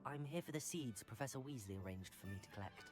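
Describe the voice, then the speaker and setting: A courteous young student from a fantasy game, voiced by an actor. The delivery is composed yet lively in pitch, with music clearly heard behind. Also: quick pace, natural voice. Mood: polite, upbeat. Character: polite, adventurous teenage student wizard. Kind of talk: video game dialogue.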